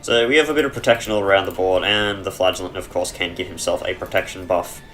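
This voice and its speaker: A man giving casual, rambling commentary over a dungeon game on a headset mic, chesty and clearing his throat, with dry humor. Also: low-pitched, fairly even intonation, fast, fairly bright tone, soft background music. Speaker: let's play gamer.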